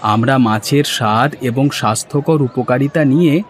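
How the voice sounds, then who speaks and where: A man's voice: mid-range voice, normal speed, close to an everyday voice. Man playing a proud, welcoming village fair host. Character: proud, earnest village man launching a hilsa fish fair. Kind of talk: scripted cartoon dubbing.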